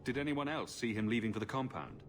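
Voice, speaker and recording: An actor playing a steady, direct fantasy hero in a video game, dry and terse. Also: male voice, medium pitch, quick pace, slight background noise, natural voice. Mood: dry, probing. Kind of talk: video game cutscene acting.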